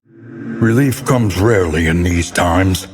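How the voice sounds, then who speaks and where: A man playing a grave, brooding narrator of a gothic horror game, speaking with solemn, theatrical menace in a husky, gravelly voice. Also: low-pitched, slight background noise. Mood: solemn, measured. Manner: solemn, ominous, theatrical, measured delivery. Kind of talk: scripted dramatic game narration. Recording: long cavernous reverb.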